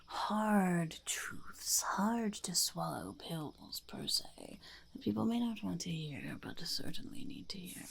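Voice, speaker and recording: Woman whispering as a gravelly, creaky goblin shopkeeper. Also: on the low side, big pitch swings, takes it slowly, heavily acted. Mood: musing, dryly mischievous. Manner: musing, hesitant, repeating phrases to herself. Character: blunt, earnest female goblin shopkeeper peddling unwelcome life advice. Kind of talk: ASMR roleplay, whispered and soft-spoken.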